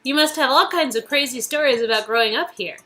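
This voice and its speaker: Bright, high-pitched, teasing woman. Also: quick pace, soft background music. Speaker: co-reader performing a visual novel game. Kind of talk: casual, playful dialogue read-aloud.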